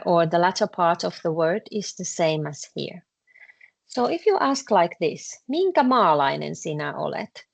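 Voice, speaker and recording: A woman with a Finnish accent teaching a language class, explaining patiently with frequent pauses and fillers. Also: on the low side, gravelly. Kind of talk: classroom lesson explaining grammar.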